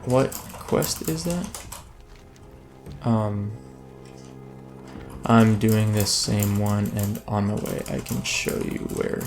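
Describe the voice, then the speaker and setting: A man's voice: lowish voice, slow pace, gravelly, long pauses, over music. A man hosting a let's play, giving casual, rambling gameplay commentary that keeps breaking off mid-thought. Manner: rambling, self-interrupting, chatty.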